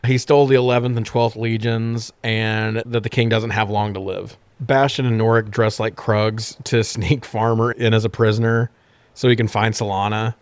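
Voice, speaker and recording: A man's voice: on the low side, flat delivery, moderate pace, clean tone, no room sound. Irreverent, swearing man in a dry room. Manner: irreverent, heavily profane. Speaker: podcast co-host. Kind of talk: casual comedic movie recap.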